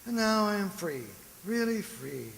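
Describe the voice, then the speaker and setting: A man's voice: high-pitched, very animated, a little slow, creaky, fairly bright tone, heavily acted. A man playing a tired, good-natured husband who grumbles colloquially in a radio drama. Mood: reading, troubled. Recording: hissy, reverberant radio play recording.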